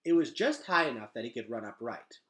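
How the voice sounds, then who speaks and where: Animated man reading a story aloud. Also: mid-range voice, brisk. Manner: animated, dramatic delivery. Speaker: reader of a children's novel. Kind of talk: expressive read-aloud storytelling.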